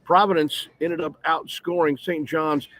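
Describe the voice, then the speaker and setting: A man's voice: fairly high pitch, steady tone, unhurried, fairly bright tone. A man working as a play-by-play broadcaster, giving a detailed radio postgame recap full of scores and stats. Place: courtside broadcast position at an arena.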